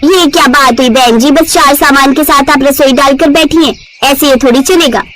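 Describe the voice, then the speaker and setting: A woman's voice: high voice, moderate pace, fairly bright tone. A woman voicing a blunt but appreciative mother who is a customer in a story. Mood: complaining.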